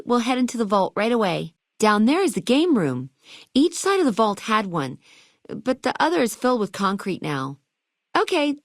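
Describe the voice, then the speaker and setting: A woman's voice: fairly high pitch, no room sound, studio quality. A woman playing a perky, eager and quirky hotel keeper in a video game, chatty and full of chirpy salesmanship and playful old-fashioned slang. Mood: proud, guiding. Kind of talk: video game dialogue lines.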